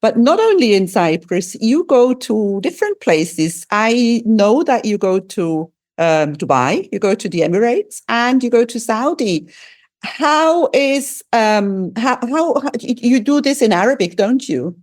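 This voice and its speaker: A woman's voice: fairly high pitch, big pitch swings, unhurried, a little mellow. A woman whose first language is German, hosting a podcast interview in a friendly, chatty way. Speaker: podcast host.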